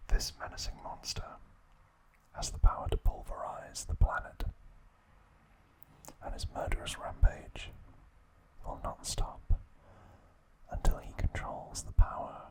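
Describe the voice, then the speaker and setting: A man speaking in a breathy, hushed ASMR whisper, in short halting phrases as he hosts a relaxed video. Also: low-quality recording.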